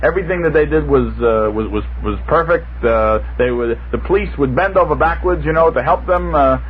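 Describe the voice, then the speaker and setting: A man's voice: a little high, fairly quick, lo-fi sound. A man talking in an unscripted radio panel discussion as a reporter guest, hesitant and often stammering as he thinks aloud. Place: radio studio. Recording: noisy band-limited 1960s radio broadcast recording.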